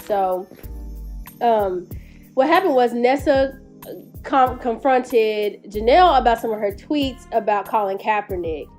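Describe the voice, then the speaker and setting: A woman's voice: on the high side, animated, measured pace, darkish tone, soft background music. A woman YouTuber with a stuffy nose from a cold, chatting casually to her viewers, rambling with lots of fillers and restarts. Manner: rambling, with many fillers and self-corrections. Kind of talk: casual commentary vlog.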